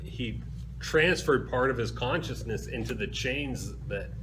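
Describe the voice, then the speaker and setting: A man's voice: medium pitch, unhurried. Man hosting a web show, noisy recording. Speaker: host of a comics web show. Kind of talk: casual web show presenting. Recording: noisy amateur video recording.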